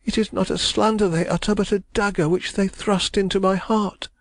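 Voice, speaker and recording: A man's voice: high voice, flat delivery, normal speed, no room sound, voice pushed up. A man reading a novel aloud, voicing an anguished, proud young woman who pleads and trembles as she speaks. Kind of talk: audiobook character voice.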